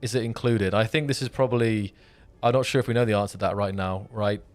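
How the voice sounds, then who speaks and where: A man's voice: fairly low pitch, fairly even intonation, fast talker. A man hosting a casual livestream, reading out viewer questions and rambling as he repeats himself. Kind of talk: casual chat.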